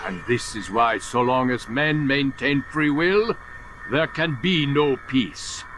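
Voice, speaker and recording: An actor playing a calculating older master assassin in a video game, philosophical and condescending in a theatrical, oratorical way. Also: male voice, mid-range voice, slow pace, background noise, natural voice. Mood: calm menace. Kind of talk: dramatic video game cutscene acting.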